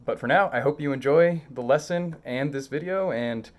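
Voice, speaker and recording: A man's voice: medium pitch, normal speed. A young man from Mexico whose first language is Spanish, earnestly introducing an online lesson as its teacher, with many small fillers and self-corrections.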